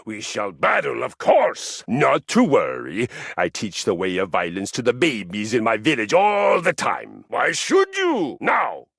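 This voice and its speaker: Swaggering Viking warrior, gravelly, wildly swinging pitch, dry booth sound. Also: male voice, wildly swooping pitch, unhurried, dry recording, heavily acted. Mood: eager. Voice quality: gravelly, booming. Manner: swaggering, theatrical, shouting bursts. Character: boastful brawny Viking warrior man, battle-hungry. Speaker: voice actor. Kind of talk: video game voice acting. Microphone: close studio mic.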